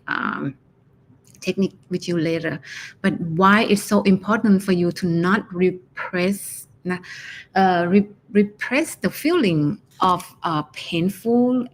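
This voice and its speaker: A woman from Thailand with a Thai accent, speaking as a life coach in a solo motivational talk, pausing often and searching for words. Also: lowish voice, a little hiss or hum. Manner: hesitant, searching for words, many fillers.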